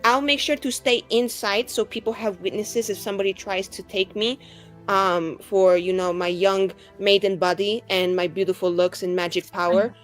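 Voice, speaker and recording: A woman's voice: mid-range voice, fairly even intonation, moderate pace, faint music behind, close to an everyday voice. A woman playing a cocky, sarcastic young maiden mage with a flippant, boastful manner in a tabletop game.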